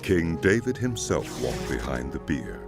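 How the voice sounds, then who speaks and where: A man's voice: a bass voice, big pitch swings, a little slow, over loud music. A man narrating a dramatized scripture as scripted storytelling.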